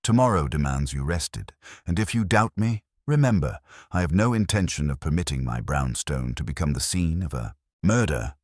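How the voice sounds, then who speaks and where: A man voicing a solemn, heavyset detective genius in an audiobook, formal, deliberate and authoritative. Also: extremely low pitch, animated, a little mellow, no echo, studio quality. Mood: grave, commanding. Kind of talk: audiobook character dialogue.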